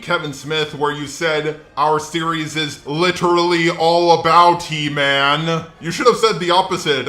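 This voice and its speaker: A man's voice: on the high side, bright, forceful tone, roomy sound. A man's synthetic robot-like voice delivering scripted, sarcastic opinion commentary as a ranting video commentator. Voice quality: synthetic robot-like text-to-speech voice.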